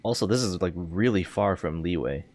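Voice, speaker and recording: Deep-voiced, low-key male game streamer. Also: normal speed, noisy background. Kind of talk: casual live game commentary. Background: game music.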